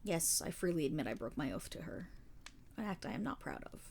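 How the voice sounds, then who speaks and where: A woman acts out a seasoned female paladin in a fantasy audio roleplay. Her voice sits a little low and moves with lively, sweeping intonation. She is kindly and earnest, weighted with regret, and tells her tale in formal, measured phrases. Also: vocal fry, a little hiss or hum, full character voice. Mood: remorseful. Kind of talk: fantasy roleplay audio monologue addressed to the listener.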